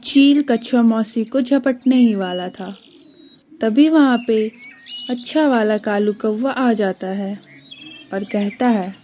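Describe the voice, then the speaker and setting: Slow, higher-voiced woman narrating a story. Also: warm tone, muffled recording, slight background noise. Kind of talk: scripted children's story narration.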